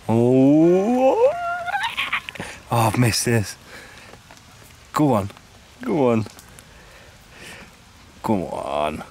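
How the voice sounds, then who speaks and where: A man vlogging a fishing trip outdoors by a lake, chatting excitably and bantering with his brother. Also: mid-range voice, a little hiss or hum. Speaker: angler vlogger. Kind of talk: casual chat.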